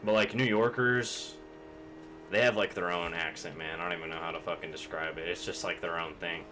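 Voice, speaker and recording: A man's voice: sits low, fairly even intonation, a little fast, noisy background. A young man from Kentucky, chatting casually and rambling with plenty of swearing while streaming a game. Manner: rambling, profane, laid-back.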